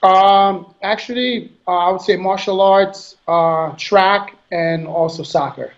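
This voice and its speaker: A man in his thirties from Haiti answering interview questions in a soft, hesitant way, often repeating words. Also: sits high, slow, lo-fi sound. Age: 30s. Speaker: guest bodybuilder.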